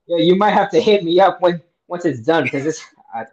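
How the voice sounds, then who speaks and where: A man's voice: sits high, a little fast, a little mellow. A man hosting a podcast, talking in a laid-back, slangy way. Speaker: podcast host. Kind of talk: casual chat. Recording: dull lo-fi mic.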